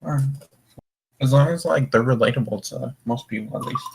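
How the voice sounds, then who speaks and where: A man's voice: medium pitch, fairly quick, creaky, a little hiss or hum, rough recording. A young man hosting a gaming voice call, rambling casually in a laid-back way and swearing often. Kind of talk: casual group chat, rambling. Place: online voice call.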